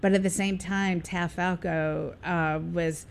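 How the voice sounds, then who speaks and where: A woman's voice: on the low side, fairly even intonation, slow pace, creaky, slight background noise. A woman, a radio guest talking casually with many fillers and restarts.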